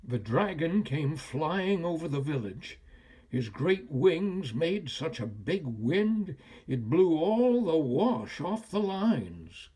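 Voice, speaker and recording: Older man, children's storyteller, husky, slow and deliberate, very animated pitch. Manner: expressive, deliberate. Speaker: storyteller, actor. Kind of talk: dramatic children's read-aloud narration.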